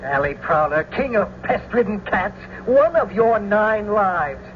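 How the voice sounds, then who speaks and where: A mocking, quarrelsome young swordsman in an old radio Shakespeare play. The delivery is theatrical and unhurried, pitched high, with noisy, boxy sound. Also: sing-song, narrow, tinny audio. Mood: mocking, defiant. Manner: theatrical, taunting. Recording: noisy, band-limited old radio recording.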